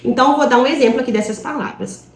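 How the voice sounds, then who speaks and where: A woman's voice: sits high, very fast, echoey room. A woman from Brazil teaching an online English class, explaining step by step and checking in with her students.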